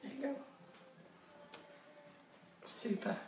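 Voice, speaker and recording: A woman's voice: drawn-out words, a little mellow, distant mic, faint music behind. Chatty woman teaching cake piping, echoey kitchen. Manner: chatty, instructive, addresses viewers directly. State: slightly squeaky voice from a cold. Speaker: cake decorating tutor. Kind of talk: live-streamed baking demonstration. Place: home kitchen, indoors. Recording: echoey kitchen, voice often off-mic.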